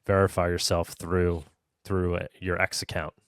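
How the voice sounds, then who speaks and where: A man's voice: low-pitched, flat delivery, moderate pace, crowd noise. A man in a casual podcast conversation, explaining features as a developer and often checking that listeners follow.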